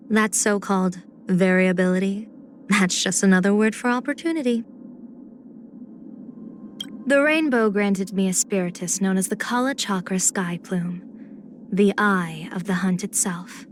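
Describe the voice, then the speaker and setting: A woman's voice: mid-range voice, a little slow, long pauses, a little hiss or hum, lightly acted. A woman voicing a playful, confident general and diviner in a video game, with poised, theatrical, teasing phrasing. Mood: wry, confident. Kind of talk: video game character voice lines.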